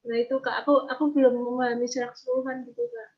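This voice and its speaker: A young woman answers casually and a bit hesitantly as a student. Her voice is husky and slightly high. The audio is somewhat dull. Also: fairly even intonation, racing. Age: young adult. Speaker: student being tutored. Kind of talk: casual tutoring conversation.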